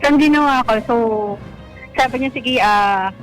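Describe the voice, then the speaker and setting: A caller with a Filipino accent tells a personal story casually and haltingly, quoting back conversations. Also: high-pitched, fairly bright tone, faint music behind, low-quality recording. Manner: halting, retells conversations as quoted dialogue. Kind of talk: casual spoken storytelling to radio hosts.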